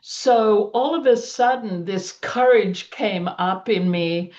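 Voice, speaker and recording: Slow older woman. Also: medium pitch, darkish tone. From Australia. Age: 70s. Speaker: panelist. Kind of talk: personal storytelling on a panel. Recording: dull lo-fi recording.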